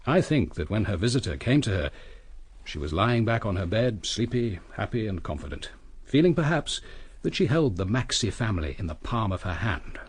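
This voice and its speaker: An actor playing a coolly brilliant British police inspector in a detective radio drama, husky, measured and deliberate. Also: male voice, lowish voice, sing-song. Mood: reflective, intent. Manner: measured, deliberate, theatrical reconstruction of events.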